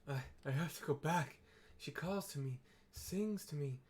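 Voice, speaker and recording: A man voicing a desperate, spellbound sailor in a video game, pleading in halting repetitions. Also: fairly high pitch, a little mellow, close to an everyday voice. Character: desperate, spellbound male sailor begging for release.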